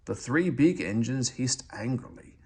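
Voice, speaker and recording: Guy reading a kids book out loud, really expressive, bit of husk in his voice. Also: medium pitch, a little slow, breathy edge. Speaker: storybook reader. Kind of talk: expressive read-aloud narration.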